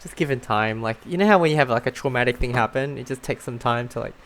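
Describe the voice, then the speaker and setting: Low-voiced woman streamer, giggly and informal. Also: quick pace, background noise. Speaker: gameplay streamer. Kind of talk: casual gameplay commentary. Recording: faint game music behind.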